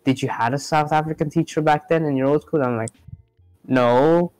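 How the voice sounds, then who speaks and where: A man's voice: a little high, moderate pace, a little mellow, clear, resonant tone, no echo, soft background music. A young man co-hosting a casual podcast chat, rambling with many fillers and restarts. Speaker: podcast co-host.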